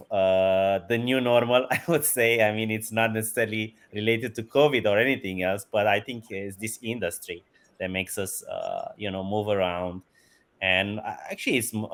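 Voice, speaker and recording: Lower-voiced man, chuckling. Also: fairly bright tone. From Romania. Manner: enthusiastic, chuckles often between phrases. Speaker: guest, company CEO. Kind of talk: casual interview answers.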